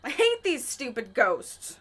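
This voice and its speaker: An actress playing a wry, excitable young woman adventurer in an audio drama. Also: sits high, slow, faint music behind, exaggerated voice. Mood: exasperated.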